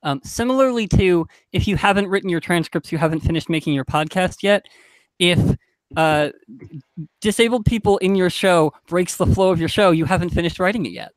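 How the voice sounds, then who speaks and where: A man speaking casually as a podcast panelist, halting and correcting himself as he thinks aloud. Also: high voice, no echo, low-quality recording.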